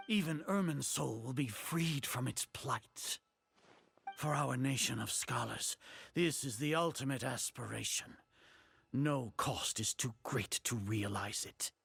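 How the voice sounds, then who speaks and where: An actor playing an arrogant older grand sage in a video game, speaking in a pompous, formal and condescending way. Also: male voice, on the high side, gravelly, a bit bright.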